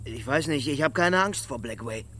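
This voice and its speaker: A loyal young man in a radio drama. He is placid and sparing with words, in a middle-pitched voice over a faint mains hum. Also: expressive, moderate pace, sharp tone. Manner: laconic, placid. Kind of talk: German radio drama acting.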